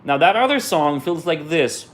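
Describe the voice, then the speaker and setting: Relaxed man answering viewer questions. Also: a little high, normal speed. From Israel. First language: Russian. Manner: relaxed and conversational, trails off and restarts. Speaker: musician hosting a viewer Q&A. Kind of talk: casual live Q&A, reading out questions and answering.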